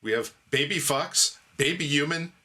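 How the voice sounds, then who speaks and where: A man's voice: a little high, normal speed, bright, forceful tone. A man co-hosting a podcast in casual chat, joking and slipping into playful announcer-style bits. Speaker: podcast co-host.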